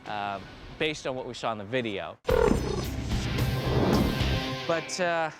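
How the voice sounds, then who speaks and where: A man's voice: mid-range voice, lively intonation, takes it slowly, a bit bright, soft background music. A man on a test team counting down and calling out results at an outdoor test site, upbeat.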